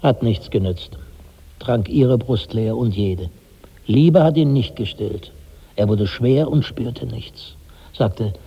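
An actor in a 1960s German radio drama playing a troubled, puzzled companion and witness, husky and creaky, with theatrical searching delivery. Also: male voice, mid-range voice, slow. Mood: sombre, pitying. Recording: dull old radio recording, long reverb.

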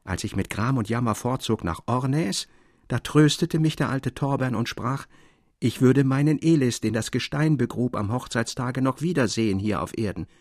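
A man's voice: mid-range voice, moderate pace, a little mellow, dry recording, clean studio sound, close to an everyday voice. A man voicing a grief-stricken, imploring old woman, a faithful bride, in a literary audiobook reading. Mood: yearning, tender. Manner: lamenting, imploring.

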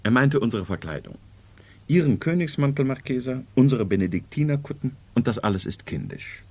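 Ein Schauspieler spielt in einem Hörspiel der Siebziger einen gelehrten, selbstsicheren Psychiater, der mit heiserer Stimme förmlich und dozierend spricht. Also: male voice, mid-range voice, animated, brisk, a little mellow, natural voice. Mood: measured objection. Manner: pedantic, lecturing, formal. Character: learned, self-assured male psychiatrist, explaining at length. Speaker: radio drama actor. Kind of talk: 1970s radio drama acting.

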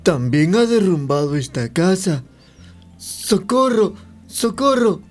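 An anxious little storybook pig, acted by a man, earnest and unhurried. Clear music plays behind the voice in this children's radio play. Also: high-pitched, very animated, darkish tone, heavily acted. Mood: alarmed. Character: anxious little pig, brother in a fairy tale.

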